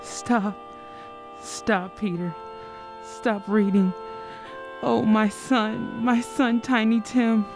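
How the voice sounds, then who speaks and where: Lady as a sad tender mum, slow creaky mournful voice, radio play, music behind. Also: a little high, fairly even intonation. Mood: anguished. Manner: mournful, halting, repeating phrases. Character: grieving, tender working-class mother. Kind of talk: old-time radio drama acting.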